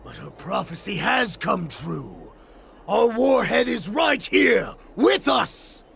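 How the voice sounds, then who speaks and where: A man voicing a fervent, servile wolf underling in a video game, gravelly, reverent and melodramatic. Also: high-pitched, very animated, slow pace, fairly bright tone, heavily acted. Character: fervent, servile male wolf underling, younger pack zealot. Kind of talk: dramatic video game voice acting.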